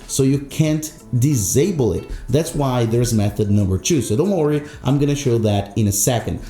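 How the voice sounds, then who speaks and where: A man's voice: medium pitch, dark tone, reverberant. A man hosting an instructional tech tutorial, explaining step by step in an emphatic, repetitive way. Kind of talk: instructional screen-recorded tutorial narration.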